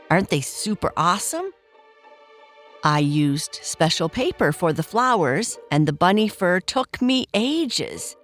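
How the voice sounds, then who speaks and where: A woman voicing a chatty, excitable preteen girl who talks in a bubbly, upbeat way. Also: fairly low pitch, full character voice.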